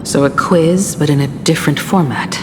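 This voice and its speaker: A woman's voice: animated, moderate pace. A woman voicing a cool, defiant character in a video game, challenging her captor in a dry manner. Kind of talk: dramatic video game acting. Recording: long reverb, rumbling noise.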